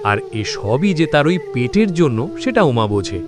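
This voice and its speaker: A man's voice: fairly high pitch, big pitch swings, a little slow. A man narrating a scripted horror story in a dramatic style. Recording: loud background music. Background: prominent dramatic music.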